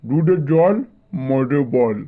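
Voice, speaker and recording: Casting: male actor as whiny drunk villager, very high voice, pleading and maudlin, dull recording with some noise. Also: lively intonation, slow. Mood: defiant, whiny. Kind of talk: Bengali comedy cartoon dialogue. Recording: dull lo-fi audio, background noise.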